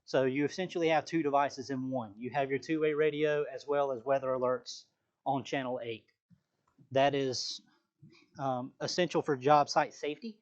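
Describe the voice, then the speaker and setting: A man's voice: mid-range voice, fairly even intonation, normal speed. Man giving product training, dull audio. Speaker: sales trainer. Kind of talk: live product training presentation.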